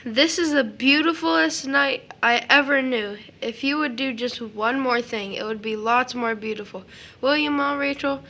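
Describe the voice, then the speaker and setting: An eager, innocent little boy played by a woman in an audio play. The voice is very high and bright, full of childlike wonder. Faint noise sits behind the recording. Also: moderate pace. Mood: sweet, pleading. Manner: childlike grammar and wondering questions. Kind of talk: stage drama audio acting.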